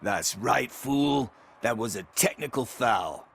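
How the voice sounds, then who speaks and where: An actor playing a sardonic, street-smart man with a husky voice in a video game. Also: mid-range voice, expressive, slow, a bit bright, full character voice. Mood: teasing.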